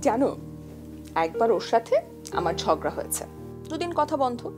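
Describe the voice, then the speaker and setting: A sentimental wife in a TV drama, with a very high, lilting Bangladeshi voice. She tells stories in an animated, girlish, intimate way, with music playing underneath. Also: moderate pace. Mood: fond. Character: sentimental married woman fondly retelling her love story. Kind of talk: TV drama dialogue, intimate storytelling.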